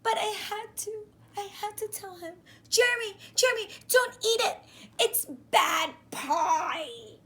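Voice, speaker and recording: A woman's voice: very high voice, animated, slow, bright, forceful tone, pitched above the performer's own voice, exaggerated voice. A woman voicing an anxious, dramatic young boy from a storybook, exclaiming urgently. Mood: panicked, pleading.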